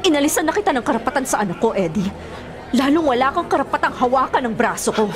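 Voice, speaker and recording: A woman's voice: high voice, expressive, quick pace. A woman playing a firm, reproachful young married mother rebuffing her ex in a radio drama. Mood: indignant, resolute. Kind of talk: Filipino radio drama acting. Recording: music bed and crowd noise behind. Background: music, busy crowd sounds.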